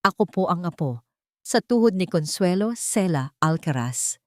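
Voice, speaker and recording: A woman's voice: fairly low pitch, animated, normal speed, a little mellow, dry recording, clean studio sound, lightly acted. A woman voicing a humble, wronged heroine in a story, with a Filipino accent and a polite, earnest, formal manner. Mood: nervous but resolute. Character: humble, dignified wronged woman standing up for herself.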